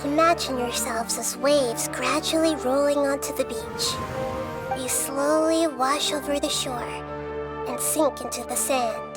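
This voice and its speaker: A woman voicing a small, wise, child-like goddess in a video game, youthful, gentle and composed. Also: high voice, fairly even intonation. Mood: calm, reassuring. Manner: measured, composed, articulate. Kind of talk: video game cutscene acting. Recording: music playing behind the voice.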